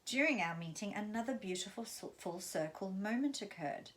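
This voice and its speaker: A woman from London, reading her own memoir aloud in an expressive storytelling way. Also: mid-range voice, normal speed. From London, England.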